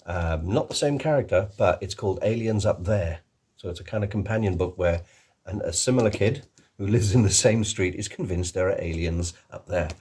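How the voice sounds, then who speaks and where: Low husky man, half English half Welsh. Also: animated, moderate pace, darkish tone. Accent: mix of English and Welsh. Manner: rambling, full of ums and restarts. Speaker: author, illustrator and voice actor. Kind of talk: casual video chat to camera.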